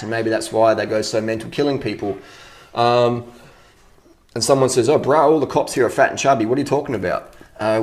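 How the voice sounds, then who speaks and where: A man in a casual, unscripted rant as an online commentator, blunt, opinionated and freely swearing, often repeating phrases for emphasis. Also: fairly low pitch. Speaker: online fitness commentator answering viewer comments.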